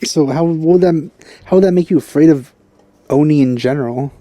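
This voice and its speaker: Very dark-voiced, low-key man commenting. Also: normal speed. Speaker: let's play host. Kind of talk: casual gameplay commentary.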